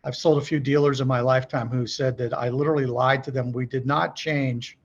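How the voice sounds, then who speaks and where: A man's voice: mid-range voice, steady tone, a little fast, lo-fi sound. A middle-aged man speaking as a podcast guest, explaining business practice conversationally in a folksy way, often posing questions and checking in with the listener. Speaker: podcast guest, company executive.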